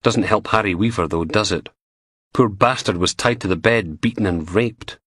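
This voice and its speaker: A man's voice: lowish voice, normal speed, fairly bright tone, no room sound, clean studio sound. A man voicing a tired, sarcastic male detective sergeant. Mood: weary. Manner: sardonic, defiant.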